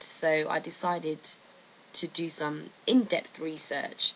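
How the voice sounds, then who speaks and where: Female narrator, low register, hesitant informal explainer, slightly noisy recording. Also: a bit bright. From United Kingdom. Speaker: video narrator. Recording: faint background noise.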